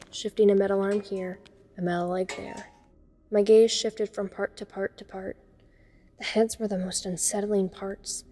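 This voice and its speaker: A woman's voice: medium pitch, warm tone, close to an everyday voice. A woman playing a teenage girl who narrates her horror ordeal in the first person, observant and uneasy. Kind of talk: first-person dramatic audio story narration.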